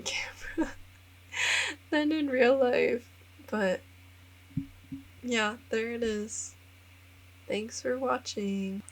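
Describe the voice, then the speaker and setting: A young American woman in her twenties talks through a relaxed vlog voice-over. She rambles with plenty of filler words and laughs at herself. Her voice sits at a middle pitch, with a faint hiss of noise behind it. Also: poor audio. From United States. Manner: self-deprecating, upbeat. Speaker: vlogger and English teacher in Japan.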